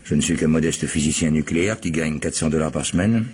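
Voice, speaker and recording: A man playing a proud, modest physicist, an estranged husband answering questions in a measured, hesitant way in a French radio crime drama. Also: extremely low pitch, a little mellow, husky. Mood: wounded pride.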